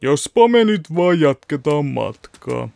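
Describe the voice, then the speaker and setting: A man's voice: on the high side, big pitch swings, creaky, warm tone, no room sound. A man voicing a laid-back, grumbling adult ninja teacher in an anime parody dub. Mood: unbothered.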